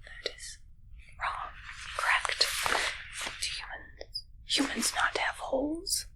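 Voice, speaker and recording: A woman playing a puzzled, anxious spaceship medic in a whispered, breathy ASMR roleplay, with halting, literal, repetitive phrasing. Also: bright, forceful tone, low-quality recording. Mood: puzzled, uneasy. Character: puzzled, anxious female ship medic examining for aliens.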